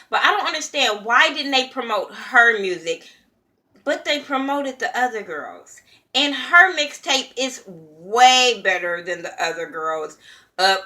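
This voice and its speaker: A young woman from California talks straight to her viewers in a blunt, heated rant full of cursing. Her voice has a bright, sharp edge and sits at an ordinary middle pitch for a woman. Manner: blunt, profane, heated ranting. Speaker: reality TV reviewer. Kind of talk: casual opinion commentary.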